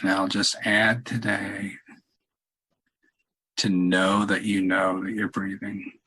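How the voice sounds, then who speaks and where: A man leading a guided meditation in a calm, unhurried, halting way with long pauses and a husky voice. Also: deep voice, rough recording. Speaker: meditation leader, podcast host. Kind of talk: guided meditation, softly spoken instruction.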